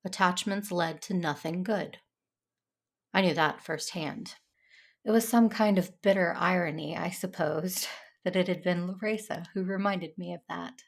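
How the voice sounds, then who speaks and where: Jedi heroine female actor: lowish voice, earnest, conflicted first-person audio drama narration. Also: steady tone, a little slow, vocal fry. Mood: reflective. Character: troubled, dutiful Jedi woman, earnest and conflicted.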